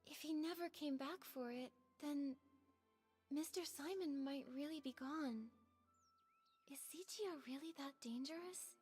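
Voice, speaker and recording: An actress playing a sweet but firm young heroine in a video game, gently confronting others in an earnest way. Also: female voice, sits high, a little slow. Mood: concerned. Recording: reverberant game audio with music behind. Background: music.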